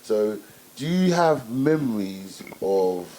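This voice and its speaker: Low, mellow-voiced male interview host. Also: very animated, takes it slowly, rough recording. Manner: rambling questions full of "you know" and "obviously". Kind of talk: casual interview hosting.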